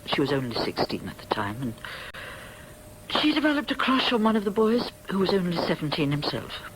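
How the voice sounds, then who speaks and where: A woman's voice: lowish voice, very animated, a little fast, a little hiss or hum, low-quality recording. An actress in a radio drama playing a stern middle-aged upper-middle-class English lady, formal and haughty in manner. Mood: grave confession.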